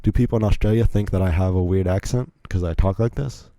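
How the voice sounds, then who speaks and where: A man's voice: low-pitched, fast talker, dark tone, no echo. A young American man from Virginia hosting a solo podcast, telling stories in a rambling, chatty way with many asides. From Virginia, United States. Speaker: podcast host. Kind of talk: casual solo podcast storytelling.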